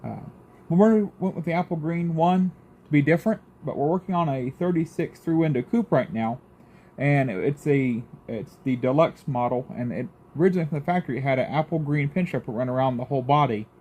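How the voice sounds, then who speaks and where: A man's voice: a little high, a little fast, mellow. A man with a folksy, informal manner hosting a casual how-to restoration video. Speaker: host of restoration vlog.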